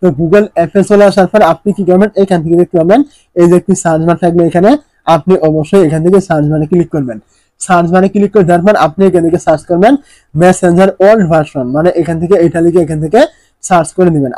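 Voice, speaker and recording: High-pitched, quick-talking man giving instructions. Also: warm tone, dry recording, rough recording. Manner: repetitive, instructional, poses questions then answers them. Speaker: tech tutorial presenter. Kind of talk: step-by-step phone tutorial narration.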